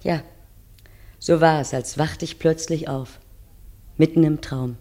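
A woman's voice: sits low, steady tone, dark tone. Solemn, wistful woman narrator. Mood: wistful, intense. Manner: measured, poetic, solemn. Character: wistful wife looking back, narrating to her husband. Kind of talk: dramatic first-person radio play narration.

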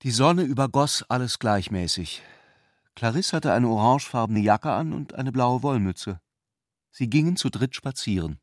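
A man's voice: mid-range voice, animated, moderate pace, no room sound, studio quality. A man narrating a literary radio drama, reading descriptive prose. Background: music.

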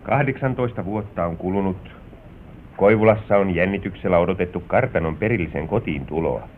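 A man narrating a radio play as a formal storyteller setting the scene, in vintage scripted style. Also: close to an everyday voice. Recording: dull, noisy old radio recording with rumble.

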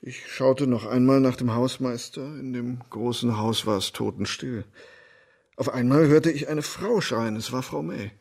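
A man in a radio drama playing an oily, lecherous doctor who talks smoothly and fawns on authority. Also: mid-range voice, unhurried, a little mellow. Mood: fawning, formal. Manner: smooth-talking, obsequious, formal.

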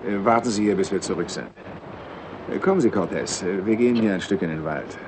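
A man's voice: deep voice, brisk, close to an everyday voice. A man playing an evasive senior state secretary in a 1960s radio drama, officious and insistent, sometimes hesitant as he starts. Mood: confidential, officious. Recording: noisy old radio recording.

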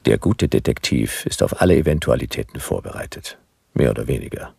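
An actor playing a laconic, world-weary private detective who narrates a noir radio drama in a gravelly, husky voice. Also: male voice, rumbling low, fairly quick, a little mellow, no room sound, full character voice. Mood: dry, laconic. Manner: laconic, dry. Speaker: narrator and lead character.

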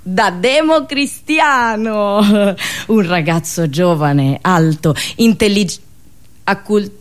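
A woman's voice: medium pitch, animated, slow pace. A woman with a central Italian accent telling a satirical story as a theatrical, ironic radio monologue. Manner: theatrical, ironic, with dialect asides.

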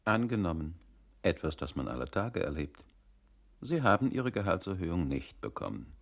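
An actor playing a pompously reasonable narrator in a radio play, with rhetorical, emphatic phrasing. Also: male voice, low-pitched, sing-song, normal speed, creaky, full character voice.

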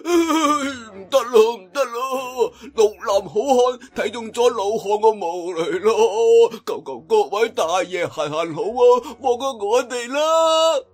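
A man's voice: extremely high pitch, animated, slow, few pauses, voice pushed up, full character voice. A man voicing an eccentric, playful old trickster in a Cantonese audiobook, with an odd affected voice and an exclaiming, rambling manner. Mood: mock panic. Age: older. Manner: exclaiming, rambling, mock-panicked, chuckling.

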